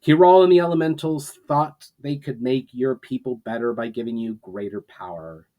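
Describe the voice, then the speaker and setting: A man's voice: medium pitch, dull audio, natural voice. Man reading as solemn, earnest orator leader. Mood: solemn resolve. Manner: measured, declamatory oratory. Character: earnest, solemn male leader addressing assembled armies. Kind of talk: dramatic storybook reading.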